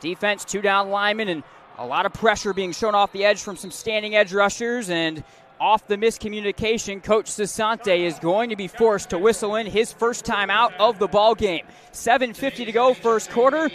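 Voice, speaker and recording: A man's voice: high voice, a bit bright. A man doing live radio play-by-play of a football game, describing the action fluently and in detail. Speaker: play-by-play sports announcer.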